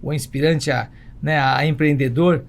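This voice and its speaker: A man's voice: fairly high pitch, steady tone, normal speed, gravelly. A man with a Brazilian Portuguese accent talking emphatically in a conversational interview, repeating himself and throwing in rhetorical questions. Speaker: travel agency entrepreneur, podcast guest.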